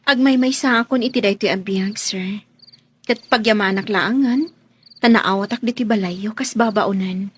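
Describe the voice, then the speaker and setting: A woman's voice: medium pitch, moderate pace, a little hiss or hum, lightly acted. A woman playing a meek, polite young housemaid in a radio drama, speaking deferentially. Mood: humble, earnest.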